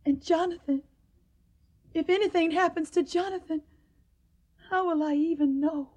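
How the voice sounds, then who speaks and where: A woman's voice: extremely high pitch, normal speed, darkish tone. A woman playing an earnest, emotional young woman in a radio drama. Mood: distressed. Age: young adult. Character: earnest, tender young Southern woman in wartime.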